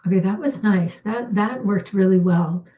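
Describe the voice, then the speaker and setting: A middle-aged woman, a healer, leading a calm guided spiritual healing with unhurried talk and soft pauses, her voice slightly worn. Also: medium pitch. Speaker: intuitive healer hosting a live session.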